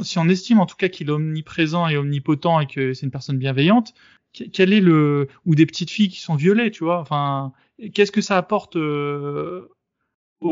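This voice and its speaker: A man hosting a podcast, arguing in a casual debate and often pausing with fillers and restarts. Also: on the high side, dry recording, highs cut off. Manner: hesitant, many fillers and restarts. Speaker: podcast host.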